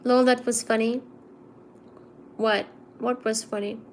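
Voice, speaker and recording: A young woman from India hosting a casual livestream, chatting with viewers and reading their comments aloud in a relaxed, low-energy mood. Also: takes it slowly, a little hiss or hum. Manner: chatty, teasing, reads chat aloud and answers it. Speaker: livestream host. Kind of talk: casual live-stream chat with viewers.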